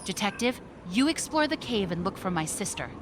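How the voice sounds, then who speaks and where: An actress playing an earnest, resolute ally in a fantasy video game cutscene. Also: female voice, mid-range voice, sing-song, a little fast, noisy background, lightly acted. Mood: earnest resolve.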